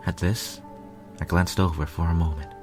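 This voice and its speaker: A man narrates fiction in a very low voice. His delivery is earnest, expressive and measured. Music is clearly heard behind him throughout. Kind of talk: dramatic first-person story narration.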